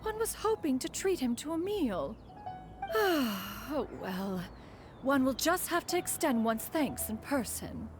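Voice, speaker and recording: Proud female immortal, higher voice, animated intonation, formal archaic phrasing, imperious, fussy, game character. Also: slow, over music, exaggerated voice. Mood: haughty. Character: proud, fussy female immortal master speaking loftily of herself as "one". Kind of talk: video game voice acting.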